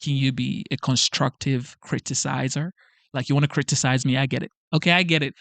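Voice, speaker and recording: A man's voice: mid-range voice, steady tone, brisk, gravelly, fairly bright tone, no room sound. A man hosting a motivational livestream, talking to camera with emphatic, repetitive urging. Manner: emphatic, repetitive, preachy pep talk. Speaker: channel host. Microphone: facing a camera.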